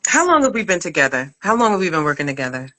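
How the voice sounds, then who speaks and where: A woman's voice: on the low side, very animated, rapid-fire, sharp tone. A middle-aged woman hosting a casual live chat in an effusive, affectionate manner. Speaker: podcast writer-director hosting a live chat.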